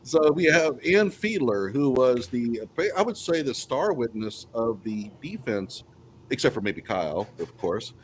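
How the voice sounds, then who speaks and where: A man's voice: mid-range voice, sing-song, measured pace. A man hosting a live panel podcast, introducing guests in a conversational way. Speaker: podcast host. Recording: clearly noisy room ambience.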